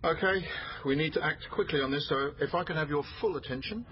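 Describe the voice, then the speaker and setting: An actor playing a brisk, authoritative detective in charge of a murder inquiry in a naturalistic radio drama. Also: male voice, on the high side, lo-fi sound, faint music behind, lightly acted. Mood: brisk, commanding. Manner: businesslike, authoritative. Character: brisk male police detective boss running a murder inquiry.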